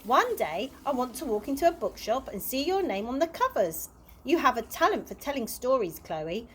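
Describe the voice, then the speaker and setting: A woman voicing a kindly, wistful old male tramp from a children's storybook, earnest and gently encouraging. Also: sits high, very animated, moderate pace, a little hiss or hum.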